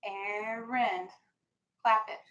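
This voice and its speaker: A woman's voice: on the high side, steady tone, extremely slow, fairly bright tone, muffled recording. An American woman teaching a vocabulary lesson to young children in a classroom, instructing and prompting with questions and directions. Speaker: elementary school teacher.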